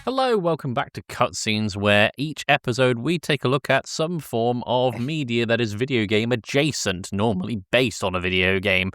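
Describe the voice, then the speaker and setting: A man's voice: medium pitch, expressive, moderate pace, hardly any pauses, a bit bright, dry recording, faint music behind. A man hosting a casual film discussion podcast, often hesitating and correcting himself as he goes. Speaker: podcast host.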